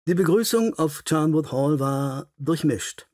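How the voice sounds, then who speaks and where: Man narrating, slightly high, husky voice. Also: expressive, measured pace, a little mellow, no room sound, clean studio sound, soft background music. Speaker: audiobook narrator. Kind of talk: scripted narration of a detective story.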